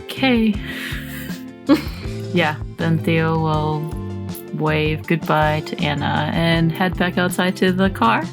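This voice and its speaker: A woman with a low, gravelly voice speaks very slowly as she plays a tabletop game in an easygoing manner. Music plays clearly behind her. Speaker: player.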